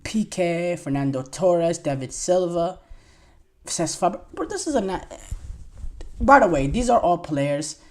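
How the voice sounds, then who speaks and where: A man's voice: high-pitched, animated, measured pace. A twenty-year-old man hosting a solo sports podcast, talking in a rambling, enthusiastic way with lots of fillers and restarts. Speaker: podcast host.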